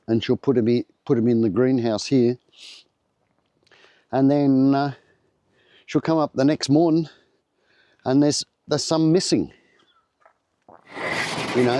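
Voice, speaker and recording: An older Australian man talks to camera at his home, a little low and soft in tone. His voice is still slightly off from the flu, and he rambles easily with plenty of ums and restarts. The sound is close and dry. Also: dry recording. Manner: rambling, relaxed, many fillers and restarts. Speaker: vlogger. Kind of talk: casual chat to camera.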